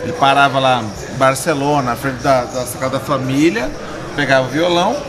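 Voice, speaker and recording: A man's voice: mid-range voice, fairly quick. A Brazilian man in his forties co-hosting a podcast in casual, slangy chat, tossing out rhetorical questions. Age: 40s. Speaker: podcast co-host. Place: poker club room, indoors. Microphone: desk microphone. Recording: busy room crowd murmur behind.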